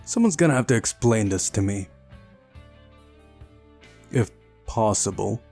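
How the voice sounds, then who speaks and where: A man's voice: fairly low pitch, animated, unhurried, creaky, darkish tone, soft background music. A man hosting a visual novel playthrough, reading the text aloud and adding incredulous, exasperated asides. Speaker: video game playthrough host. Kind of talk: visual novel let's play, reading and reacting.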